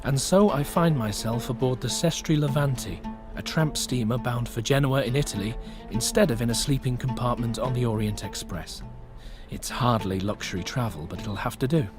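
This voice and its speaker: A man's voice: medium pitch, normal speed, lightly acted. An actor in a radio drama playing a polite, wry Englishman with an English accent and a courteous, understated manner. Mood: confiding, uneasy. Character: polite, wry Englishman caught up in wartime espionage. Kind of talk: radio drama acting with first-person narration. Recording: music, ship and outdoor ambience behind.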